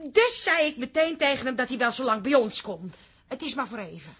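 An actress playing a brisk, exclamatory grown daughter with a Dutch accent in a radio comedy drama, forever placating her grumbling father. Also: sits high, expressive, a bit bright, breathy edge, exaggerated voice. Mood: defensive.

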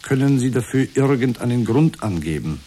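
An actor playing a calm therapist in a radio drama, speaking in measured, formulaic reflections. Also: male voice, mid-range voice, slightly rough. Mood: measured, reassuring. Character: male talk therapist, calm, formulaic, parroting his client.

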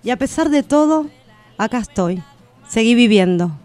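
A woman's voice: a little high, expressive, normal speed, darkish tone, faint music behind, lightly acted. An actress playing an aching yet unbroken, defiant everywoman, reciting in solemn and emphatic poetic radio theatre. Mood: unbroken, proud.

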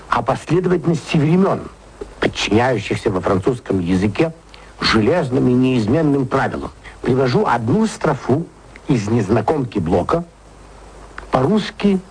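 A man giving a formal literary radio talk in a measured, declamatory, rhetorical manner, heard on an old archival recording. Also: mid-range voice, a little mellow, low-quality recording. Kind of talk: formal literary radio lecture with recited verse.

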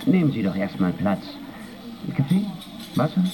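An actor playing a burly, self-assured West German go-between in a radio crime drama, exaggeratedly courteous, like a gallant host. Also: male voice, on the low side, sing-song, moderate pace, noisy background. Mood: gallant assurance.

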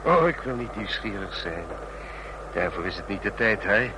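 A man's voice: lowish voice, big pitch swings, normal speed, background noise. A man playing an inquisitive but polite fellow passenger in a radio play, making insinuating small talk. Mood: prying. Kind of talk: Dutch radio play acting.

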